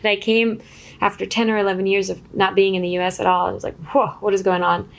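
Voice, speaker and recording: A woman from Israel talking casually as an interview guest, telling stories in a chatty, halting way that often interrupts itself. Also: medium pitch, level tone, creaky, rough recording. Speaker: guest, screenwriter. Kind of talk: casual conversational storytelling.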